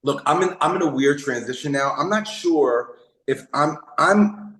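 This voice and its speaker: A man who is a comedian, talking casually in an interview, halting with many restarts and fillers. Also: fairly high pitch, a bit bright, echoey room, poor audio. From Brooklyn, New York. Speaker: comedian guest.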